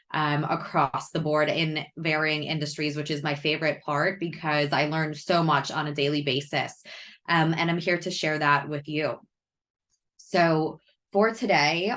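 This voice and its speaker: A woman with a very low, deep voice that is worn hoarse, as if she is coming down with a cold. She leads an online webinar in a friendly, explanatory way, walking listeners through her points one by one. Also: fairly bright tone. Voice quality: naturally deep voice. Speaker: customer success head presenting a webinar. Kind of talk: online marketing webinar talk.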